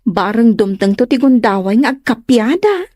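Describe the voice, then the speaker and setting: A woman's voice: a little high, animated, moderate pace, a little mellow, no room sound, studio quality. A woman with an Ilocano Filipino accent playing a plain-spoken, hospitable village woman in an audio comedy-drama. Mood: concerned.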